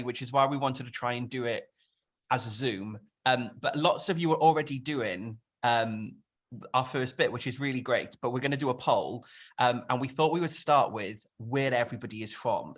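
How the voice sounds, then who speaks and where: A man from the UK co-hosting a casual video call party, chatty and lively with a self-mocking humor. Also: mid-range voice. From United Kingdom. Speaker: co-host. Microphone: video call mic.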